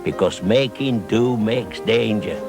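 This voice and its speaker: A man's voice: fairly low pitch, takes it slowly, creaky, over loud music. A man narrating a scripted public information film in an instructive, coaxing manner. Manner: instructive, coaxing with tag questions. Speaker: voice-over narrator.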